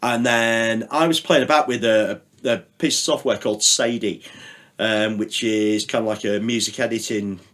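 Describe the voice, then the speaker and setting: A middle-aged man, speaking as a guest in a relaxed interview. He tells long, winding stories and keeps interrupting himself with "like" and "um". He drops in the odd swear word along the way. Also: fairly low pitch, normal speed. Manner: rambling, anecdotal, swears, many fillers. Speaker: podcast guest, radio producer. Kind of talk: casual chat.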